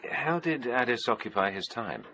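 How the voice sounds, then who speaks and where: An actor playing a calm, inquisitive English gentleman in a radio drama, polite and restrained. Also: mid-range voice, moderate pace, a little hiss or hum, rough recording. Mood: curious. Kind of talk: British radio drama acting.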